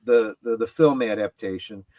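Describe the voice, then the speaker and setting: A middle-aged man in casual conversation on a livestream panel, speaking haltingly and often repeating words as he goes. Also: lowish voice, dark tone, no room sound. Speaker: panelist on a pop culture livestream. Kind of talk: casual chat about comics.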